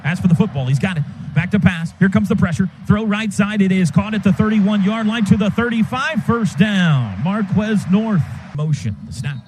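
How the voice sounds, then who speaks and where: A man doing live play-by-play radio commentary of a football game from a stadium booth, in rapid clipped calls that surge on big plays. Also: high-pitched. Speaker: play-by-play announcer. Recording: noisy live stadium broadcast.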